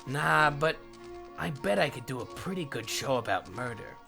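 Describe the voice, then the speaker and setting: A man's voice: medium pitch, very animated, moderate pace, vocal fry, music in the background. A man voicing a deceptively humble, shrewd middle-aged detective in a comic fandub, with a folksy, rambling, bumbling manner. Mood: folksy, casual.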